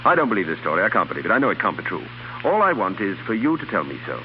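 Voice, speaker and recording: A dull vintage radio recording with faint hiss carries an earnest gentleman in love. His delivery is theatrical 1930s drama, hugely animated in pitch, pleading and protective. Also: very fast. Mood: insistent, pleading.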